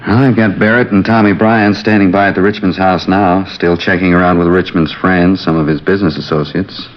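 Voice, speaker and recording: A man playing a steady, practical homicide captain in a 1950s radio drama, with a measured, plain-spoken, businesslike manner. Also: sits low, natural voice. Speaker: actor in a police radio drama. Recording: muffled vintage 1950s radio recording.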